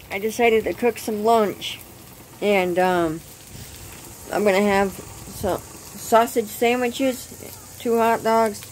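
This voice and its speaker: Woman host, casual cooking demo, unhurried and halting, recorded on a phone by the grill. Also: medium pitch, darkish tone, slight background noise, rough recording. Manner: unhurried, hesitant with frequent ums. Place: outdoors at a gas grill.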